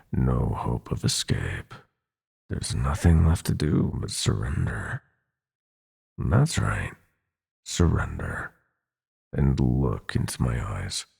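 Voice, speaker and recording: Sinister prince of nightmares: extremely low, gravel-throated, taunting and possessive, with theatrical swoops, for villain ASMR roleplay. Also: male voice, darkish tone, full character voice. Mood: hypnotic, coaxing. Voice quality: gravelly, husky. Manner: theatrical, taunting, drawn-out menacing purr with whispered phrases.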